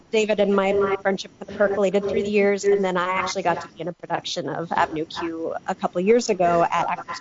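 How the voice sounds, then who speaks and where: A sick woman with a husky, hoarse voice tells her story as a podcast guest, chatty and quick to poke fun at herself. The sound is dull and lo-fi, with a loud crowd murmuring behind her. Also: on the low side. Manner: chatty, laughing, self-mocking. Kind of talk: casual storytelling.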